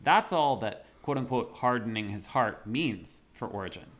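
Animated man co-hosting a scholarly podcast. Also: medium pitch, normal speed. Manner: animated, jokey asides. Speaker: podcast co-host. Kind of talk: casual scholarly discussion.

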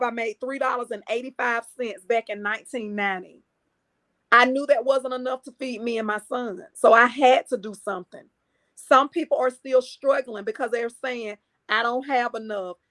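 A middle-aged woman, a coach and speaker, talking earnestly in a podcast interview and repeating her points for emphasis. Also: fairly high pitch. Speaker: guest, empowerment coach.

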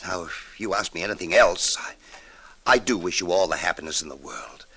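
Older man, low bright voice, courteous, hesitant, fatherly, vintage radio drama. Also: animated, brisk, husky, heavily acted. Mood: earnest, torn. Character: gentle, guarded old father hiding his identity. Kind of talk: 1950s radio drama acting.

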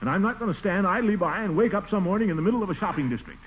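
A man's voice: high voice, lively intonation, rapid-fire, breathy edge. An actor playing a blustering, easily swayed middle-aged man in a pompous, flustered 1940s radio comedy style. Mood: indignant. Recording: faintly noisy, tinny 1940s radio recording.

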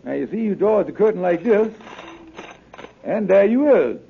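A man's voice: high-pitched, expressive, moderate pace, gravelly, husky, full character voice. A man playing a blustering, slippery con man in a 1950s radio sitcom, folksy in dialect and full of hemming salesman's patter. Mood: smooth-talking. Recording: narrow, noisy vintage radio recording with clicks.

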